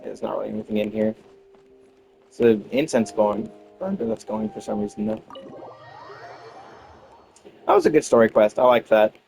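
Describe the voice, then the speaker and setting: A man's voice: lowish voice, normal speed, gravelly, long pauses, a little mellow, low-quality recording. Casual man rambling over game music. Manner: rambling, thinking aloud. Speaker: gamer commenting on own playthrough. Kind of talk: casual gameplay commentary.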